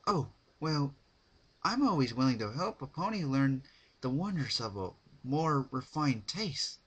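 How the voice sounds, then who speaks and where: A man's voice: mid-range voice, very animated, unhurried, husky, voice pushed up. A man voicing a refined, ladylike female unicorn pony in a cartoon fan fiction reading, genteel, affected and a little hesitant. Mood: gracious.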